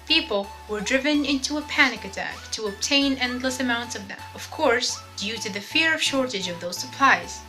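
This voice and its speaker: A woman of twenty, reading a rehearsed pitch presentation clearly. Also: bright, forceful tone, rough recording. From Algeria. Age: 20s. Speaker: student presenter.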